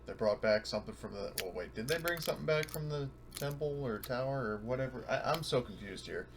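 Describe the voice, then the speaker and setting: A man's voice: mid-range voice, expressive, a little fast, creaky, a crowd in the background. A man playing a tabletop game, chatting casually with questions and quips.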